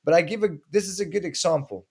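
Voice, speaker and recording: A man's voice: high voice, fast, creaky, mellow, husky. A middle-aged man from Sweden, a guest explaining self-defense in a casual interview with earnest stories and frequent restarts. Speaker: guest, martial arts instructor.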